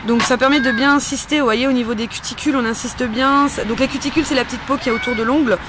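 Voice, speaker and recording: A woman's voice: sits high, steady tone, fast, background noise. A woman hosting a casual step-by-step tutorial in a chatty, playful and informal way. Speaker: host of a homemade beauty-care tutorial. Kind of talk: casual spoken step-by-step demonstration.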